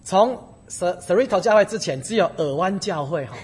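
A man preaching in Chinese and animatedly telling a story, pausing for an interpreter. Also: high-pitched, husky. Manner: animated storytelling, acting out dialogue. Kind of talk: sermon in Chinese with consecutive interpretation.

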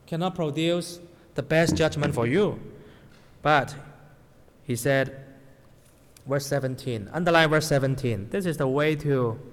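Male preacher in a hall. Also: on the high side. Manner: non-native English with grammar slips, asks the audience "right?". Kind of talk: sermon to a congregation. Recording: long hall reverb.

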